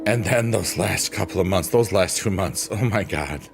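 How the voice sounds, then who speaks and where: A man with a booming voice on a late-night stream, chatting in a rambling, thankful way and answering his chat. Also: normal speed, gravelly. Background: soft piano music.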